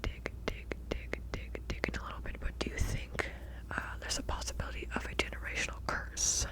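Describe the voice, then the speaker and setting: Whispering woman, soft ASMR witch roleplay. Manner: soft, unhurried, repeats words, affectionate address. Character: kindly witch shopkeeper and tarot reader.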